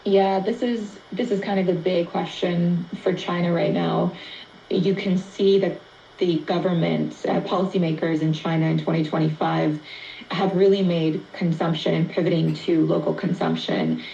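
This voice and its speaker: A woman's voice: fairly low pitch, normal speed, gravelly, warm tone, roomy sound, far from the mic, lo-fi sound, slight background noise. A woman reporter explaining in a radio interview, heard through an AM receiver playing in a room. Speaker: economics reporter. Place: played through a shortwave receiver speaker.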